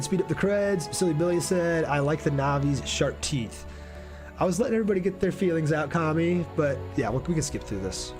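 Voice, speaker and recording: A young man giving casual, enthusiastic livestream commentary on a game and chatting informally with his viewers. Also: fairly high pitch. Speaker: game streamer. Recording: game music behind the voice. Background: game music.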